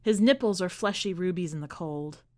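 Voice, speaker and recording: A woman podcast host narrating and commenting on literary fiction in a measured, expressive manner. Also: lowish voice. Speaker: podcast host and story reader.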